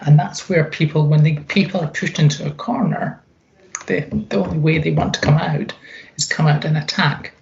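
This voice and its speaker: A middle-aged woman talks as a guest in a reflective interview. Her voice is deep and heavily gravelled, and she leans on repeated phrases to make her point. The recording sounds a little dull and lo-fi. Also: fairly even intonation, normal speed, a little mellow.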